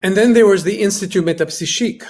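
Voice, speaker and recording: A man who grew up in Greece, speaking as an interview guest over a video call, halting and often restarting as he searches for words. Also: high voice, a little mellow. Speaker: guest, researcher. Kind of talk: casual conversation.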